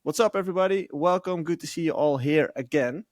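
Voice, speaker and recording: A man's voice: fairly high pitch, fairly quick, dry recording, clean studio sound. Upbeat young man hosting a podcast. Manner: upbeat, welcoming, greets listeners. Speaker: podcast host. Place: home studio, indoors. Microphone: studio mic on boom arm, video call.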